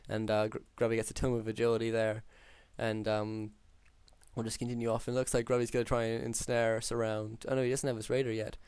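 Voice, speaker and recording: A man calling a strategy game match alone, talking through plays with many ums, his voice hoarse after hours of casting. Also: on the low side, quick pace, dry recording. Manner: steady, filler-heavy analytical play-by-play. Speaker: game caster. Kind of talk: solo esports play-by-play commentary.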